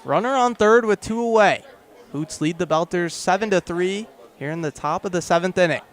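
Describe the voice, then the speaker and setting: A young man doing live radio baseball play-by-play in clipped phrases that build to excited calls. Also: sits high, moderate pace. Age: young adult. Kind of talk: live radio sports commentary.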